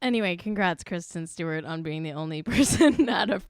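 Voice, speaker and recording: A woman's voice: fairly low pitch, animated, brisk, a little mellow, smooth, no room sound, clean studio sound. A woman co-hosting a casual chat show, giggly and chatty, swearing now and then. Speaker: co-host.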